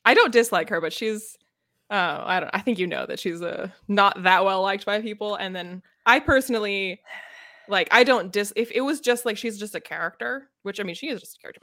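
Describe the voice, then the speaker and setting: A woman's voice: medium pitch, a bit bright, no room sound. Casual woman co-host, dry close recording. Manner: peppers talk with "like". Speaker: podcast co-host. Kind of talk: casual book discussion chat.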